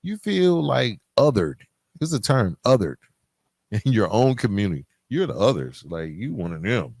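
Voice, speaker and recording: Male podcast host, low register, informal conversational delivery, halting and thinking aloud, close dry sound. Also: big pitch swings, creaky, darkish tone, slightly rough, no room sound. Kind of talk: conversational solo podcast talk.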